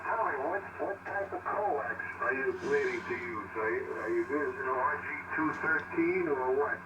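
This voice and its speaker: A man speaking over a radio transmission, explaining technical matters casually in a halting, deliberate way. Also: on the high side. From United States. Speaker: amateur radio operator. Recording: muffled distant shortwave radio, loud static. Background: loud radio static.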